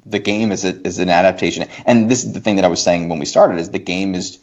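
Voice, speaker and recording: Male podcast guest, low-pitched, emphatic and halting, opinionated conversational delivery, slightly muffled lo-fi recording. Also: steady tone, a little mellow. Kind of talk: casual opinionated discussion. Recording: dull lo-fi podcast audio.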